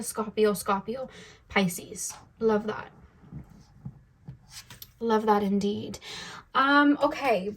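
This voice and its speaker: A woman's voice: a little high, rough recording. Chatty woman giving a tarot reading. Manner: chatty, musing aloud, repeats questions to spirit guides. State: voice going a little deep. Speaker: tarot reader. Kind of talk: casual tarot reading to camera. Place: indoors at home.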